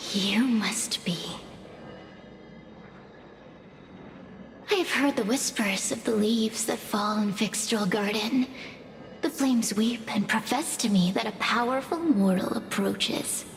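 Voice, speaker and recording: A creaky, seductive, sinister fire spirit. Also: female voice, on the high side, slight background noise. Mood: eerie, intrigued. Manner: theatrical, seductive, drawn-out, teasing. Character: hungry, sinister female fire spirit who possesses mortals. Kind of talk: video game character acting.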